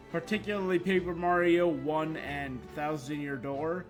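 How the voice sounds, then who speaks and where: A man streaming a game, chatting casually in a rambling, opinionated way. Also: on the high side. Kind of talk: casual livestream chat. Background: game music.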